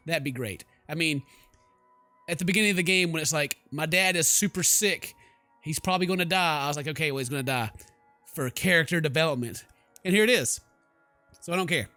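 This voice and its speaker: A man's voice: on the high side, fast talker, sharp tone, no room sound. A man giving casual comedic game commentary with a sarcastic, mocking and theatrical delivery. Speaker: let's play host. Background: game music.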